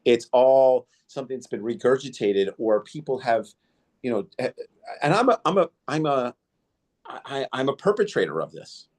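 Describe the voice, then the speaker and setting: A man's voice: mid-range voice, big pitch swings, normal speed, darkish tone. A man hosting a podcast interview, whose first language was Indo-Greek, telling stories in a rambling way with many fillers and restarts. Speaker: podcast host. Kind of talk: casual interview storytelling.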